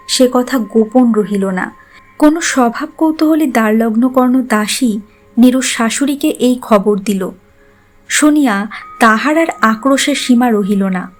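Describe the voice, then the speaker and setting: High-voiced woman narrating a classic story. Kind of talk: literary scripted narration. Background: background music.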